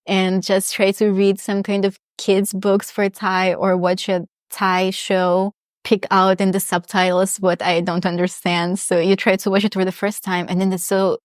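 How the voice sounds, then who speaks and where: Young woman guest, relaxed reflective chat, mid pitch. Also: unhurried, no room sound, clean studio sound. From Russia. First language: Russian. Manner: reflective, chatty, many restarts and small laughs. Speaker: guest, polyglot. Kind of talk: casual interview chat.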